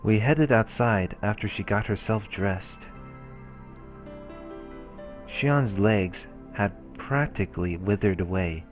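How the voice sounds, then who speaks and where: Low-voiced man narrating over music. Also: slow pace, long pauses. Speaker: let's play narrator. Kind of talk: reading visual novel narration aloud. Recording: music behind the voice. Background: game music.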